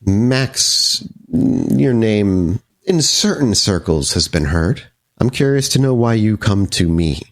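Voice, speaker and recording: A man voicing a composed, courteous vampire woman over a hundred and fifty, speaking formally and with measured reserve in a tabletop game. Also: on the low side, expressive. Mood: composed, curious.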